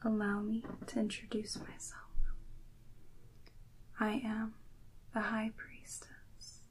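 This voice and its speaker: A woman playing a serene, regal priestess from a tarot deck, in a breathy, mostly whispered, stately ASMR roleplay delivery. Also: on the high side, monotone, rough recording. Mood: serene, mysterious. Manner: stately, deliberate, mysterious.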